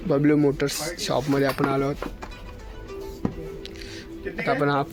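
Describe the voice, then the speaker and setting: A man's voice: mid-range voice, completely flat delivery, a little slow, music in the background. A man talking casually as a motorcycle vlogger. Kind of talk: casual vlog narration.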